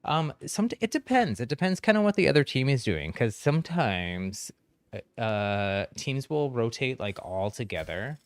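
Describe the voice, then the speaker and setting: A man's voice: medium pitch, sing-song, moderate pace, dry recording. A man streaming a game, chatting casually with viewers, friendly and chatty with frequent ums and laughs. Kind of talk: casual chat while gaming on stream.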